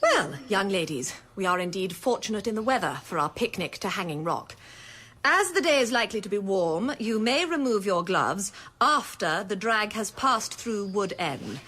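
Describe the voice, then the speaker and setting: An actress playing a stern headmistress of a girls' college in a radio drama, speaking in formal, measured, pompous diction. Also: mid-range voice, lively intonation, bright, forceful tone. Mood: imperious, formal. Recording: faint background noise, old radio recording.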